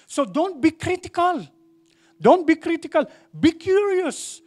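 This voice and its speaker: A man's voice: very high voice, expressive, a little slow, a little mellow. A man preaching a sermon to a congregation, repeating phrases for emphasis and asking the church questions. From Philippines. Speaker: pastor.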